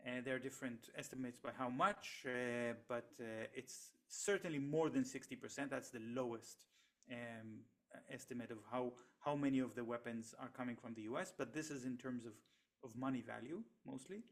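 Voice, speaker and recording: A middle-aged man whose first language is Hebrew, a researcher explaining his work in an interview with steady, hesitant pacing and frequent pauses and restarts. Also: mid-range voice. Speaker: economist and researcher, interview guest.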